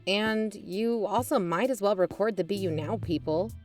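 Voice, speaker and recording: A woman's voice: mid-range voice, moderate pace, faint music behind, lightly acted. A woman acting a blunt, pragmatic young investigator in a naturalistic audio drama, businesslike and skeptical.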